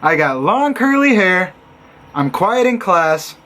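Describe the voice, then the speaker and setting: Young man from Illinois, college vlogger, high light voice, sarcastic storyteller who acts out others' lines. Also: sing-song, slow, bright, forceful tone. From Illinois, USA. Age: young adult. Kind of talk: casual storytelling vlog.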